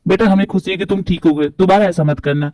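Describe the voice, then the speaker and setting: A woman voicing a calm older man who reassures his family in soothing, measured words. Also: sits high, dry recording, voice pushed down, natural voice. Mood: reassuring. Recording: distant from the mic, faint music behind.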